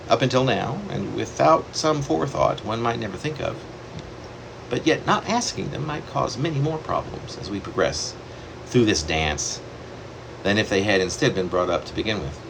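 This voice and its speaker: A man reading his own long poem aloud in a wandering, self-correcting way, with sudden leaps in pitch. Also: lively intonation, moderate pace, vocal fry, background noise. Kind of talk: poetry reading.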